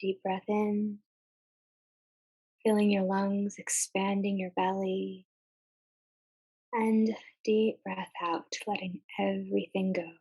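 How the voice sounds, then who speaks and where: Slow-paced woman guiding a meditation. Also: mid-range voice, flat delivery, husky, low-quality recording. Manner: gentle, unhurried, with long pauses between short phrases. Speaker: meditation guide. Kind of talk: guided meditation, slow and soothing.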